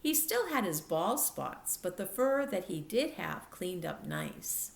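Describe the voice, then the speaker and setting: A woman narrates a children's chapter book at a slow, careful pace. Her voice has a noticeable creak, and the room adds an echo around her words. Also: on the low side, wildly swooping pitch. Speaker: teacher reading aloud.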